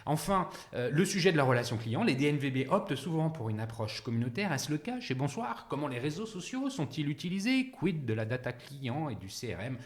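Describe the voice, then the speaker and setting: A man's voice: a little high, sing-song, brisk, few pauses. A man hosting an interview podcast, speaking to the listener and guest in an informal way. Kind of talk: podcast introduction and conversational interview.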